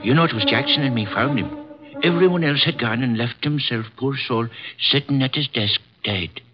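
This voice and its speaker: Man playing a deferential office worker, rambling, loud music behind, muffled vintage radio. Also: medium pitch, moderate pace, close to an everyday voice. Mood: rueful. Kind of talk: 1950s radio drama acting. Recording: dull lo-fi 1950s radio broadcast with loud music.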